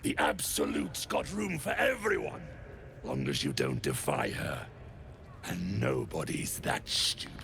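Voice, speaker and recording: A man voicing a brutish goblin thug in a video game, with a growling, rough and slangy delivery. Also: rumbling low, sharp tone, a little hiss or hum, exaggerated voice. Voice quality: gravelly, growling. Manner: rough, slangy, swinging into barks. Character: brutish gravel-voiced goblin thug loyal to a cult. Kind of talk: video game character acting.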